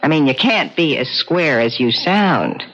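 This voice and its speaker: Brash streetwise woman, lively swooping delivery. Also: sits low, measured pace, full character voice. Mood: mocking. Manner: slangy, teasing, impatient. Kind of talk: old-time radio drama acting. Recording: muffled, noisy old radio broadcast.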